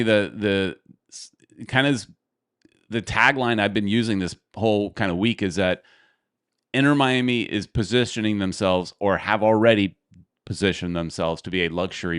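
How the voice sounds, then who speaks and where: A man's voice: lowish voice, normal speed, fairly bright tone, clear, resonant tone, no echo, studio quality. A man hosting a podcast, chatting casually and rambling as he thinks aloud. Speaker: podcast host. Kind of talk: casual chat.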